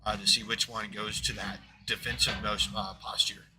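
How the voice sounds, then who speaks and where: A man's voice: lowish voice, little pitch movement, moderate pace. A man commentating a live pool match from a booth in a pool hall, talking in a loose, rambling way with many fillers. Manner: rambling, filler-heavy, talks of his loud voice.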